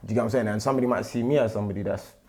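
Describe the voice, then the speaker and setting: A man's voice: lowish voice, rapid-fire, a loud crowd. A young man with a London accent, co-hosting a casual panel discussion and building his points earnestly, often checking that he is understood. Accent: London English. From England. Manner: earnest, builds points with repeated "do you get what I'm saying". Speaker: panel co-host.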